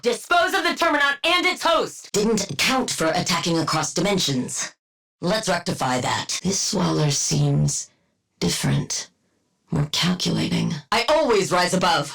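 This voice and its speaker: A woman's voice: lowish voice, very animated, measured pace, creaky, a bit bright, heavily acted. A haughty female supervillain scientist from a video game. She speaks with clipped, precise diction and a dry, clinical wit. Her self-important delivery treats everyone around her as a lesser mind. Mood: urgent, commanding, then cool, dry. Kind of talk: scripted game character voice lines.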